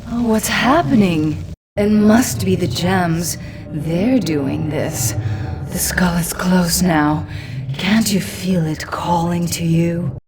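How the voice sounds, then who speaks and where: A woman's voice: on the low side, slow pace, over music. A woman acting a seductive, sinister demon guide in a video game, with sultry, teasing delivery. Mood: entranced, seductive.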